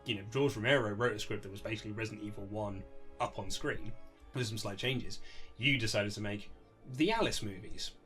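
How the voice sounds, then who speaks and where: A man's voice: on the low side, a little fast, a bit bright. Male author, informal interview guest, chatty and emphatic, faint music beneath an amateur recording. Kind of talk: casual interview chat.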